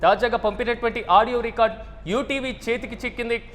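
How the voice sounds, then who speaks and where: Male news anchor, pretty high voice, talks fast, emphatic and formal, repeats stuff for stress. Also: fairly even intonation, a bit bright. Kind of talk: live news anchoring and commentary.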